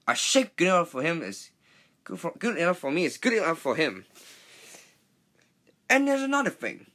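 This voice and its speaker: A man's voice: fairly high pitch, big pitch swings, normal speed, sharp tone, voice pushed up, full character voice. A man voicing a pompous, cross steam engine in a children's storybook, scolding indignantly.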